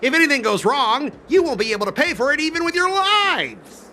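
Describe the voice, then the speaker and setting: A man's voice: very high voice, very animated, fairly quick, sharp tone, a little hiss or hum, heavily acted. A man voicing a stubborn, blustering corporate cargo officer in a video game, indignant, officious and argumentative. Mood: officious, wary. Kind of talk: animated video game voice acting.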